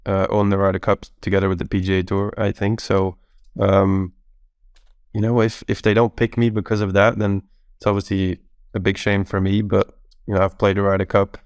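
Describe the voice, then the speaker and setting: A man in his thirties, a guest golfer, answering in a relaxed, hesitant way with many pauses. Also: lowish voice, clean tone, no room sound. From Belgium. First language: not English. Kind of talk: casual podcast interview.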